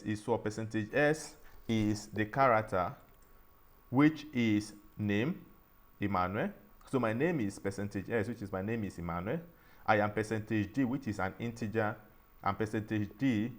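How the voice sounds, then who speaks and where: A man teaching a programming tutorial, explaining each step slowly and often restarting and saying "all right". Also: on the low side. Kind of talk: screen-recorded coding tutorial.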